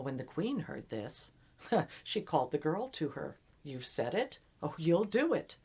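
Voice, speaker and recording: Woman storyteller, dramatic pauses, folktale delivery, lowish voice, highly varied pitch.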